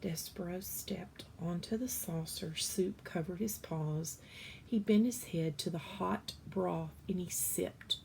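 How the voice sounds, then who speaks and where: A woman reading a children's novel aloud as an expressive storyteller, with dramatic pauses. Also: on the low side, creaky, slight background noise, poor audio.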